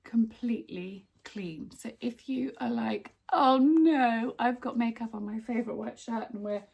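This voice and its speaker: Chatty woman vlogger, slightly croaky, gravelly edge, friendly asides. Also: a little high, a little slow, darkish tone. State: a bit croaky. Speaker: lifestyle vlogger. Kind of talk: casual how-to vlog.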